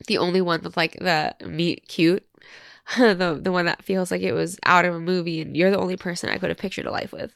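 A woman in her twenties, a podcast host, reading a personal letter aloud while crying and congested, halting and full of fillers. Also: on the low side, vocal fry, a bit bright, no echo, clean studio sound. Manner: tearful, halting, many fillers.

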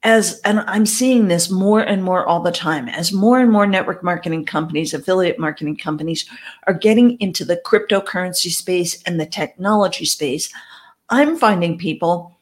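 A woman's voice: lowish voice, moderate pace. A woman with English as her first language, giving direct, conversational advice as a network marketing coach. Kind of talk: advice talk to camera.

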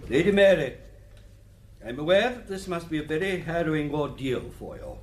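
An actor playing a courteous, formal British coroner in a radio drama, questioning witnesses in a measured, probing way. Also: male voice, fairly high pitch, big pitch swings. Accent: British English.

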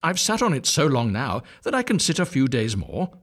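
A man voicing a fretful mother duck who sits on her eggs, speaking high and fussing. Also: big pitch swings, normal speed, a bit bright. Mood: fretting.

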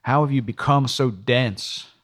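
A man's voice: lowish voice, moderate pace, close to an everyday voice. A man voicing an angry, exasperated male police detective in an audiobook, scolding with profane rhetorical questions.

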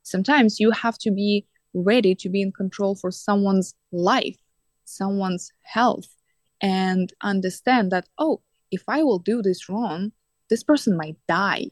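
A woman's voice: mid-range voice, a little slow, no room sound. Woman, dry sound. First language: Russian. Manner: earnest, slightly non-native phrasing with fillers. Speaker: guest, coach and teacher. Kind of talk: casual podcast interview.

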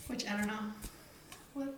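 A young woman co-hosting a casual chat podcast, teasing, swearing casually and laughing loudly. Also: on the high side, fairly even intonation, moderate pace, slight background noise. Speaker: podcast co-host. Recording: echoey room.

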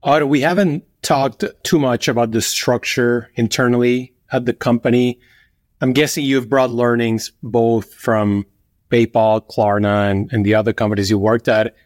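A man hosts a podcast and asks his guest curious, conversational questions. His pitch is ordinary for a man. The recording is dry and close. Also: steady tone, moderate pace, dry recording. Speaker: podcast host. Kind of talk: interview questions.

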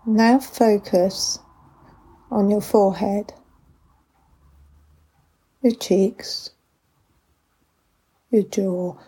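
A woman leading a guided body scan meditation with gentle, unhurried instructions and long pauses, in a soft, breathy voice. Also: mid-range voice, fairly even intonation.

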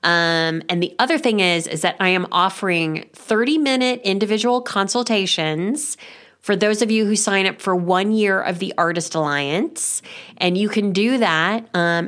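A woman's voice: mid-range voice, fairly bright tone. A woman hosting an art podcast over a video call, upbeat and chatty, sounding nasal from a cold. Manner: upbeat, chatty, frequent ums. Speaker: podcast host. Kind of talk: casual podcast chat and announcements.

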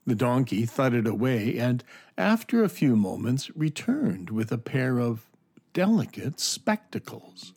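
A man's voice: mid-range voice, big pitch swings, a little slow, darkish tone, breathy edge. A man reading scripted storybook narration for a children's audio drama.

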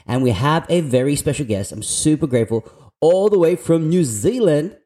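A man's voice: fairly high pitch, lively intonation, moderate pace, dark tone. A man from Australia hosting a podcast interview, enthusiastic and prone to restarting his sentences. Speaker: podcast host.

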